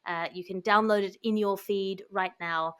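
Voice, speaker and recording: A woman's voice: medium pitch, steady tone, moderate pace, fairly bright tone, smooth. Tongue-in-cheek woman hosting a satirical podcast. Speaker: podcast host.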